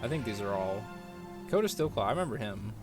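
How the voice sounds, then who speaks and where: A man's voice: fairly low pitch, sing-song, fast. A young man giving casual, jokey commentary on a game playthrough, often mimicking lines. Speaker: gaming streamer. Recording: loud music behind the voice.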